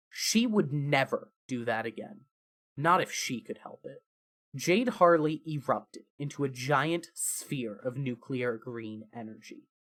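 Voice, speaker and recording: A narrator with a mid-range voice reads a story dramatically. Phrases start with emphasis and trail off softly, in a scripted fan fiction style. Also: medium pitch.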